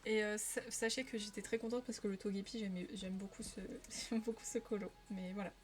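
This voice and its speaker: A woman's voice: mid-range voice, fairly even intonation, brisk. Chatty woman streaming while coloring. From Paris region, France. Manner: chatty, laughs often, answers chat. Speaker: live streamer. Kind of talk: casual live-stream chat while coloring.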